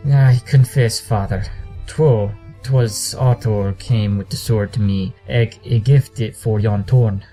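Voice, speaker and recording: Woman putting on a very deep, bold young knight. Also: slow, warm tone. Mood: confessing. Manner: declamatory, mock-medieval English. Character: ruddy, valiant young male knight, Sir Kay. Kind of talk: dramatized storybook tale in archaic English. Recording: music under the voice.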